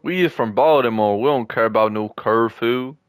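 A man's voice: medium pitch, normal speed, clean tone, no room sound. Joking young man trash-talking a friend. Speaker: gamer. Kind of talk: casual gaming chat with a friend.

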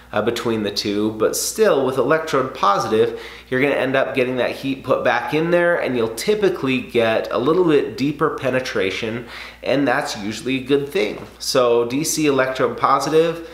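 A man's voice: medium pitch, a bit bright. A man in his thirties explaining welding in a casual, conversational way, with frequent fillers, seated in a home garage workshop. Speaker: welding instructor. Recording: roomy garage echo.

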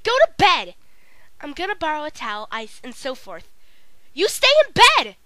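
A woman acting a bossy, fiery but caring teenage anime tomboy who scolds forcefully. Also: high-pitched, wildly swooping pitch, moderate pace, no echo, heavily acted, poor audio. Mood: scolding. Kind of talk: anime dub demo reel acting.

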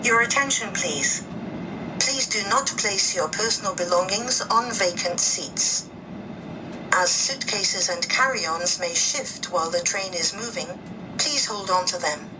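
Slow female train announcer, distant PA. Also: medium pitch. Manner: formal, carefully enunciated. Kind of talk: scripted English safety announcement. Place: played over speakers inside train car. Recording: distant cabin PA, train noise. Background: train ventilation and vehicle noise.

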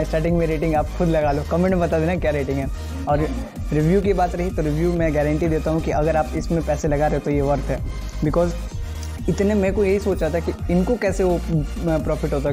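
A man's voice: a little high, steady tone, a little fast. Chatty Bihari man reviewing food, music behind. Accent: Bihari Hindi. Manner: chatty, enthusiastic, lots of fillers. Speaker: food vlogger. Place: restaurant indoors. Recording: loud background music.

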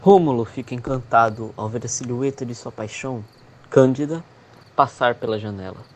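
A man's voice: medium pitch, moderate pace, a little hiss or hum. A man reading scripted, dramatic narration as the narrator of a radio soap opera. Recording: faint music behind narration.

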